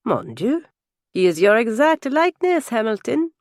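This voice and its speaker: An exuberant French nobleman, played by a woman narrator, speaks with a French accent in a close, dry recording. He is courtly and gallant, pouring out his warmth as a storybook character. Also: high-pitched, animated, normal speed, dry recording. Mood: delighted. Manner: effusive, courtly. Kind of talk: audiobook character dialogue.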